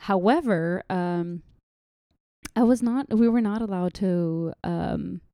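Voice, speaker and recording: A young woman from Saudi Arabia speaks. Her voice is mellow and smooth, and her talk is loose and chatty, full of fillers and restarts. The sound is dry and close. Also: on the low side, slow pace, no echo. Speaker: interview guest. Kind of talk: casual interview chat.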